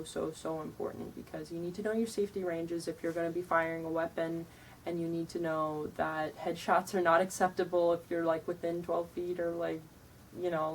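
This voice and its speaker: A young woman with a lowish, deeper voice talks straight to camera, blunt and casual, padding her points with ums and likes. She sounds tired. The mic sits a little away from her, with a faint hiss of noise behind. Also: vocal fry, a little mellow, distant mic, rough recording. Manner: frank, blunt, chatty with many ums and likes. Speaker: vlogger giving reenactor tips. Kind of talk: casual advice talk to camera.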